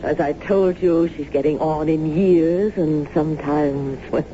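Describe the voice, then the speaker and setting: A woman's voice: low voice, measured pace, dark tone. A woman acting in a radio drama as a courteous, formal neighbor hostess with a subtly sinister, insinuating manner. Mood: courteous, solicitous. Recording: muffled, noisy old radio broadcast.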